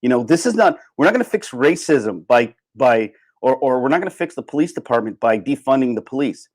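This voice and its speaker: Emphatic man, halting livestream monologue. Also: medium pitch, animated, darkish tone. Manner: repetitive, halting, restarting sentences; emphatic, rhetorical questions. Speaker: livestream host. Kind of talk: impromptu opinion monologue.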